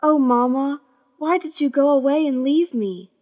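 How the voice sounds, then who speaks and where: A woman's voice: high-pitched, moderate pace, a little mellow, close to an everyday voice. A woman voicing a meek, sweet, downtrodden young girl in a children's fairy tale cartoon, timid and plaintive. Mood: tearful, timid.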